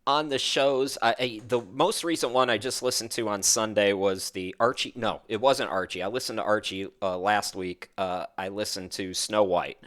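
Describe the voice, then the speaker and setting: A man's voice: lowish voice, steady tone, moderate pace, few pauses, a bit bright. A man hosting a casual interview, feeling his way through his questions in a slightly hesitant, conversational manner. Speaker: interview host.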